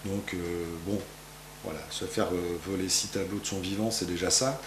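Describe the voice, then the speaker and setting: A man's voice: low-pitched, steady tone, normal speed, roomy sound, noisy background. A man in his fifties from France, a painter answering interview questions in his gallery, rambling with many fillers and restarts. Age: 50s. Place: art gallery, indoors.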